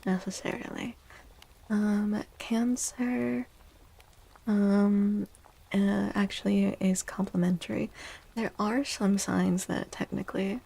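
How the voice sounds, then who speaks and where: Breathy, soft-spoken ASMR woman, very unhurried. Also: medium pitch. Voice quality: breathy, often near-whispered. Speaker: streamer host giving tarot readings.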